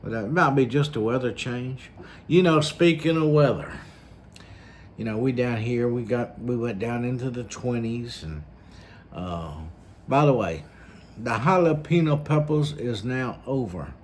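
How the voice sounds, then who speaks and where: A man's voice: mid-range voice, lively intonation, measured pace. A man with a slightly scratchy throat, rambling folksily in a casual vlog with lots of fillers. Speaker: vlogger. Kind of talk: casual rambling monologue to camera.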